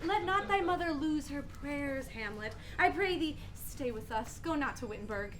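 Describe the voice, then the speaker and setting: Entreating royal mother, radio Shakespeare: high voice, clearly noisy recording. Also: expressive, moderate pace. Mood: pleading. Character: pleading queen mother Gertrude. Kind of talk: Shakespearean radio play acting. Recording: noisy background.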